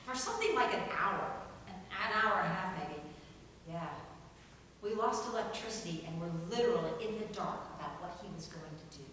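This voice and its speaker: A woman's voice: on the low side, animated, fairly quick, fairly bright tone. A woman reading the part of a brusque, world-weary grandmother in a play's table reading, dry, blunt and folksy. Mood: reminiscing. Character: brusque, world-weary grandmother working beside a young colleague. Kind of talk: table reading of a stage play. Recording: very echoey hall from far off, faint noise.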